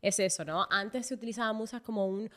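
A woman's voice: mid-range voice, fast talker, a bit bright. A woman from the Republic, co-hosting a casual podcast chat and talking with enthusiasm, often restarting her sentences. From Dominican Republic. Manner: enthusiastic, restarts and trails off with fillers. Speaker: podcast co-host.

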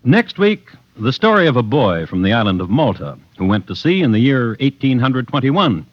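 An actor playing a measured, wry crime storyteller, narrating deliberately and dramatically as host of a 1950s radio drama. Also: male voice, medium pitch, very animated, no echo. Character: measured, wry male crime storyteller hosting from his files. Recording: dull vintage radio recording, music behind.

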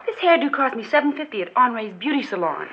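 An actress in an old radio drama playing a young secretary with a dry, sarcastic, exasperated manner. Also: female voice, on the high side, sing-song, fast talker, pushed and bright, heavily acted. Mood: wry, exasperated. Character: sardonic young female secretary, exasperated fiancée. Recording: dull noisy vintage radio recording.

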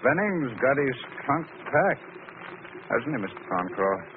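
An actor playing a cool, deferential clerk turned murderous accomplice in old radio drama, measured and controlled. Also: male voice, mid-range voice, sing-song. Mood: wary, calm. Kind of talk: 1940s radio drama acting. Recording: noisy, tinny old radio broadcast.

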